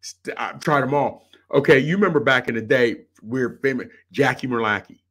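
A man's voice: mid-range voice, moderate pace, a bit bright. A middle-aged man in casual, informal podcast chat, often repeating phrases for emphasis on a video call. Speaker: sports podcast panelist. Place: indoor room on a video call.

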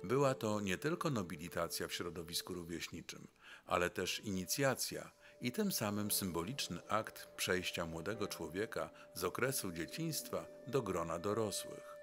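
Deep male documentary narrator over music. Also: animated, normal speed. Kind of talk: scripted narration.

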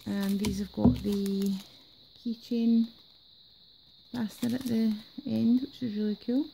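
Sick woman with a gravelly, dark voice. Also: mid-range voice, a little hiss or hum, rough recording. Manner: chatty, enthusiastic about items. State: sick, voice affected. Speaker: planner unboxing vlogger. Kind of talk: casual unboxing chat. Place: indoors at a table. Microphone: camera filming her hands from above.

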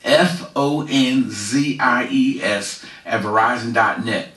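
A man's voice: medium pitch, slow pace, bright, forceful tone. A middle-aged man from the Philadelphia area hosting a casual community commentary show from his couch, chatting folksily as he promotes local events. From Philadelphia area, USA. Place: living room on a couch. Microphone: headset mic, far camera. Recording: roomy, slightly distant sound.

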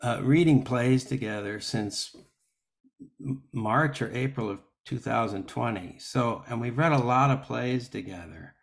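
A man speaking as an actor in a panel discussion on a video call, thoughtful and halting as he reasons aloud. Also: medium pitch, gravelly. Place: indoors at home on a video call. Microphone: video call microphone.

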